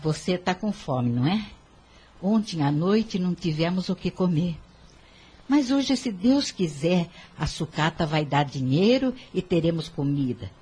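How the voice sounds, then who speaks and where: A woman's voice: lowish voice, animated, measured pace, dark tone, husky. A woman playing a poor, hardworking and devout mother in an audio drama. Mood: tender, resigned.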